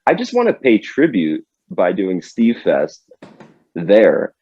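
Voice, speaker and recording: Low-voiced man in his thirties, rambling. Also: huge pitch swings, slow, warm tone, slightly rough, dry recording, highs cut off. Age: 30s. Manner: rambling, laughs often, many likes and restarts. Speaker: podcast guest, band member. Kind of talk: casual chat.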